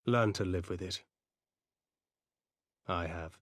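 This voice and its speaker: An actor playing a stern, world-weary warrior in a video game, speaking in a blunt, grim manner. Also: male voice, low voice, slow, no echo, studio quality. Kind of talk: dramatic video game cutscene acting.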